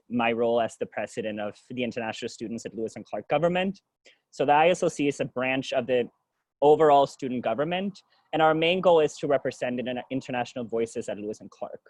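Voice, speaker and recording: A man's voice: medium pitch, lively intonation, quick pace, dry recording. A young man from Denmark, a native Danish speaker, giving an informal presentation as a student leader. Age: young adult. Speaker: student government president.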